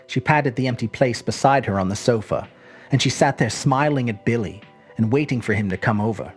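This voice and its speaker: A woman narrating a literary short story as an expressive storyteller. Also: rumbling low, fairly quick, soft background music.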